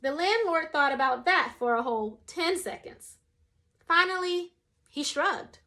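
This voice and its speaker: Young adult woman, children's story reader, expressive, wide-ranging melody. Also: sits high, unhurried. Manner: expressive, shifts voice for quoted characters. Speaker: storyteller reading a picture book. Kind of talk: children's read-aloud.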